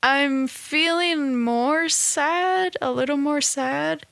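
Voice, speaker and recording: A woman talking in a casual, reflective monologue, halting and restarting often, with a slightly nasal, stuffed-up voice. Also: high-pitched, creaky, no room sound, clean studio sound. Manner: halting, many restarts and soft laughs. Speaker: vlogger.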